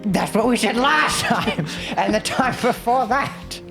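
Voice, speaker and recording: A man's voice: very high voice, a little slow, vocal fry, over music, voice pushed up, exaggerated voice. A man playing an exasperated, theatrical fantasy adventurer who complains in mock-heroic style during a tabletop game. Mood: grumbling. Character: exasperated, theatrical male fantasy adventurer sick of his foe. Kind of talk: in-character tabletop roleplay.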